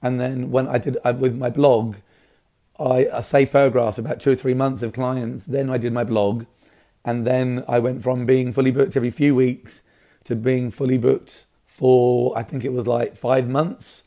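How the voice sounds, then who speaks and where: A man with a slightly raspy voice, talking casually and keenly as an interview guest about his work. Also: mid-range voice, flat delivery, moderate pace, mellow. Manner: enthusiastic. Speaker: hairdresser, salon owner, interview guest.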